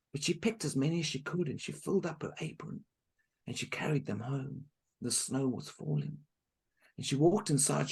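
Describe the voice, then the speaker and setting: Brief: male storyteller, lively sing-song delivery, gravelly and a bit husky, chatty asides. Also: on the high side. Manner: animated, chatty asides to listeners. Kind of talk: folk tale storytelling.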